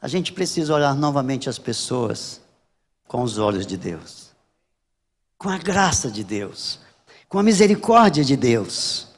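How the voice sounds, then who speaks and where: A Brazilian man preaching a sermon as a Baptist pastor, emphatic and repetitive, with deliberate pauses. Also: medium pitch, sing-song, long reverb tail. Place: large church hall during a service.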